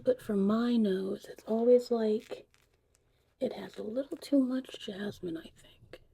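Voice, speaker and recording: Dark, breathy woman whispering softly. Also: medium pitch. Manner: hushed, breathy, drifting in and out of whispers. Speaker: ASMR creator and host. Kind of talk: soft-spoken, whispered ASMR tutorial roleplay.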